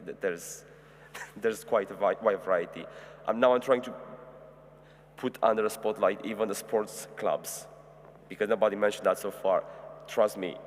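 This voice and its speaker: A man in his twenties from Romania, a university student giving unscripted advice to new students on a panel. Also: on the low side. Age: 20s. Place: large echoing hall. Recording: reverberant hall, humming background noise.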